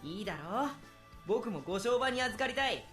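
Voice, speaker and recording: Female actor as brash schoolboy for an anime-style game: cheeky, very animated, rapid, music underneath. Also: mid-range voice, close to an everyday voice. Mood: eager. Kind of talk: Japanese visual novel voice acting.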